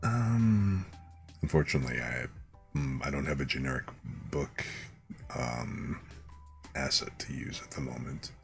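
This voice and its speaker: A man hosting a bedtime story stream, speaking in a relaxed ASMR storytelling style. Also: extremely low pitch, a little slow, vocal fry, pauses often, faint music behind.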